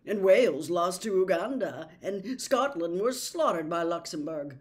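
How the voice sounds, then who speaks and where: A woman voicing a blunt young man, a grumbling sports fan in a storybook, loud and emphatic. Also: on the low side, animated, unhurried. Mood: gloomy.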